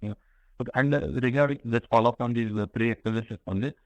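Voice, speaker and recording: A man with an Indian accent calling in as an investment analyst, asking questions haltingly and searching for words. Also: mid-range voice, no room sound. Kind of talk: business questioning on a call. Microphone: conference call line.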